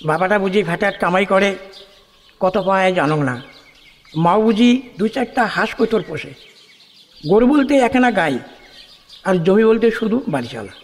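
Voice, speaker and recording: A man's voice: high-pitched, lively intonation, normal speed, pauses often, big-room sound. A man with a Kamtapuri accent playing a sly, persuasive middle-aged village matchmaker in a rural radio play.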